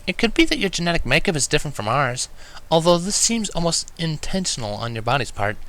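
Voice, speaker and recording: A man's voice: fairly high pitch, very animated, fast, sharp tone, voice pushed up, full character voice. A man voicing a brainy, caring female unicorn who speaks earnestly and gets easily flustered, in a fan fiction reading. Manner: earnest, explanatory. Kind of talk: fan fiction storybook character voice.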